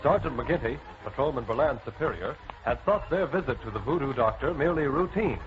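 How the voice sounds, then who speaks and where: A formal, dramatic announcer, played by a man narrating a 1940s crime serial. His middle-pitched voice comes through a muffled, clearly noisy vintage recording. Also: lively intonation, brisk, lightly acted. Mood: urgent storytelling. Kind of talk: 1940s radio scripted narration. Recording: noisy, muffled old-time radio recording.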